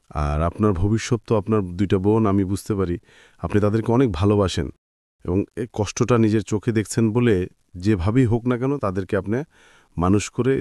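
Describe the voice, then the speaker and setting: A Bangladeshi man with a low voice speaks as a radio host in a dry, close sound. His delivery is measured and earnest, reflective and consoling, with English words dropped in. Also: warm tone, no echo. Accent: Bangladeshi Bengali. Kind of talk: consoling, reflective address to guest and listeners.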